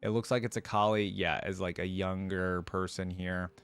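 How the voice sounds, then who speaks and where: A man's voice: deep voice, flat delivery, moderate pace, a bit bright, clear, resonant tone, dry recording. A man hosting a reaction video, reading aloud and adding casual, informal commentary as he thinks aloud.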